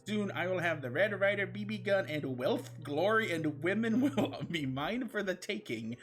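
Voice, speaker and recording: A man's voice: sits high, animated, moderate pace, a bit bright, faint music behind, pitched above the performer's own voice. A man voicing a pompous, greedy little boy who makes grandiose, self-important declarations in a comic story reading. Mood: dreamy, smug.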